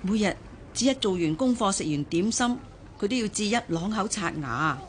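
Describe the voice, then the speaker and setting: A woman plays a loyal, fretful household helper in a radio drama. She is chatty and well-meaning, with a medium-pitched voice and a Hong Kong accent. Faint ambient noise sits behind her. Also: animated, normal speed, lightly acted. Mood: fretful, explaining.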